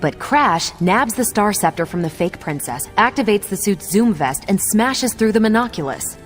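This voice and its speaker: A woman's voice: medium pitch, lively intonation, normal speed, faint music behind, lightly acted. A woman acting as a confident superhero in a video game cutscene, with an assured, explanatory and slightly teasing manner. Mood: assured, knowing.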